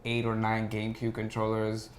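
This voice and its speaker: A man's voice: lowish voice, completely flat delivery, moderate pace. A young adult man talking casually as a guest on a podcast. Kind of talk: casual chat. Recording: faint background noise and room ambience.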